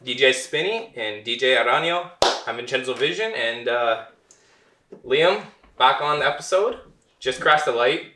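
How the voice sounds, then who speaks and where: A man's voice: medium pitch, animated, normal speed, reverberant, background noise. A man hosting a podcast, talking in an easygoing, friendly way. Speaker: podcast host. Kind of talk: casual podcast chat.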